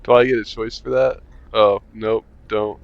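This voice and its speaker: A man reading a visual novel aloud as a gamer, voicing narration and the player in a relaxed, wry way and muttering while he plays. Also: on the low side, normal speed, creaky. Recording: lo-fi mic with faint music.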